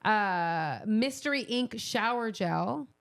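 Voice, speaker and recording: A woman with a creaky voice, co-hosting a podcast in casual, enthusiastic banter with a playfully demanding way of talking. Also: medium pitch, lively intonation, slow. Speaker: podcast co-host.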